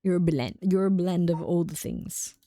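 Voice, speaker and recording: A woman's voice: on the low side, moderate pace, dark tone, no room sound. Giggly woman, dry close sound. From United Kingdom. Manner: chatty and giggly, many "like" fillers. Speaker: game streamer. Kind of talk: casual chat with stream viewers. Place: home streaming room.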